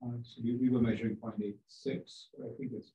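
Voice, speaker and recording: Relaxed man on dull laptop audio. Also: medium pitch, fairly quick, echoey room. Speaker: observing astronomer. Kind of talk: casual technical chat.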